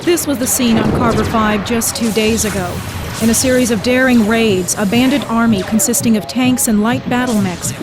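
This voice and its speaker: An actress playing a serious field reporter in a scripted game news report on a war zone. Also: female voice, fairly high pitch, moderate pace, music in the background, lightly acted. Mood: serious, urgent.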